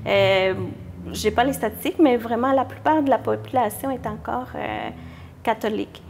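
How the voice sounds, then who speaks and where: A young woman with a fairly high voice. She answers as an interview guest in an informal way, often hesitating as she looks for her words. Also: moderate pace, vocal fry, darkish tone, slight background noise. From Mexico. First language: Spanish. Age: young adult. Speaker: interview guest, church youth group leader.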